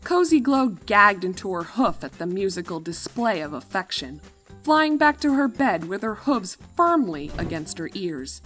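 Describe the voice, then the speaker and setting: A woman reading a scripted story as narrator, with a dramatic and expressive delivery. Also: mid-range voice, moderate pace, faint music behind.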